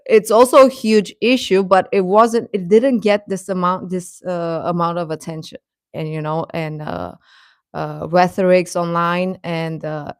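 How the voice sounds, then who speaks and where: A woman hosting a casual, opinionated live chat, speaking haltingly and defensively with repeated words. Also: fairly low pitch, dry recording, studio quality. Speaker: livestream host and commentator.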